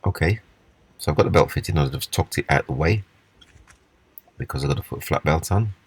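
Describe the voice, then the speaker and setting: A man with a husky voice giving a casual, unscripted, step-by-step walkthrough of hands-on repair work. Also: extremely low pitch, animated, brisk, no echo. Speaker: repair demonstrator.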